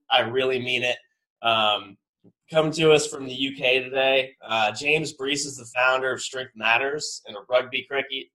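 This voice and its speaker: A man's voice: medium pitch, sharp tone, low-quality recording. Upbeat male podcast host. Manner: upbeat, introduces guest. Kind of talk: casual podcast chat.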